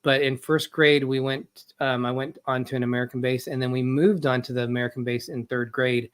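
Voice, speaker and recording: A man's voice: medium pitch, steady tone, fairly quick, clean tone. A man from England co-hosting a casual live show, rambling and chuckling a lot as he talks. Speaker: co-host.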